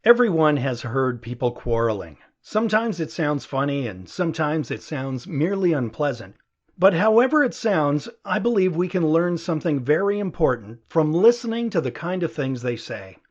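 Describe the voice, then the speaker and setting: A dull, lo-fi recording of a male audiobook narrator. His voice sits high for a man and moves in animated, expressive contours as he deliberately reads out a reasoned essay.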